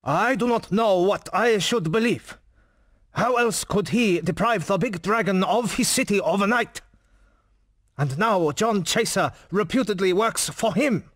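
A man's voice: sits high, a little slow, fairly bright tone, dry recording. A man playing a shaken, suspicious disaster survivor in a dramatic video game scene. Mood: grave, haunted.

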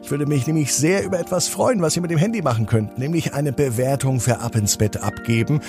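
A man hosting a children's bedtime story podcast, gently addressing young listeners with drawn-out emphasis. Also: on the high side, music in the background.

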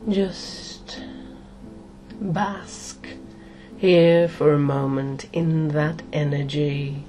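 A middle-aged woman hypnotist leading a guided meditation in a soothing, deliberate way, pausing between short phrases. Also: low-pitched, steady tone, mellow, soft background music.

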